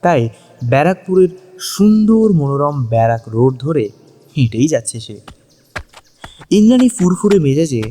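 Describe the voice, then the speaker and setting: Dark-toned male story narrator over music. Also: a little high, very animated, moderate pace, pauses often. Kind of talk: scripted dramatic story narration. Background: background music.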